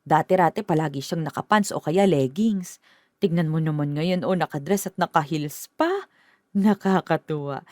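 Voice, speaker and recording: A woman's voice: low-pitched, normal speed, a little mellow, no echo, clean studio sound, natural voice. An actress playing a doting, talkative middle-aged mother in a radio drama, with an effusive, gushing way of talking.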